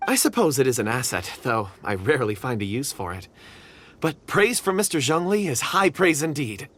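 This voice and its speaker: A man playing a modest, earnest young man in a video game, speaking politely and gently. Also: medium pitch, big pitch swings, moderate pace, a little hiss or hum. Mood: modest, respectful.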